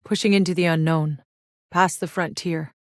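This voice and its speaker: A woman's voice: on the low side, steady tone, moderate pace, no echo, close to an everyday voice. An actress playing a tough, sardonic, weary but determined woman soldier in a video game, with a terse, dry, hard-edged delivery. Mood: somber. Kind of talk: acted video game voice lines.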